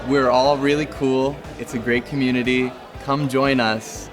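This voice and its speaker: A man's voice: medium pitch, steady tone, a little slow. A man speaking wryly and jokingly in a casual interview. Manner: wry, joking. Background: music.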